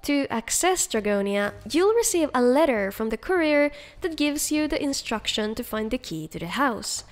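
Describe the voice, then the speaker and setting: A woman's voice: high-pitched, lively intonation, normal speed. A woman hosting a game mod showcase, reading upbeat scripted narration as a voice-over. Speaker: video host, game mod reviewer.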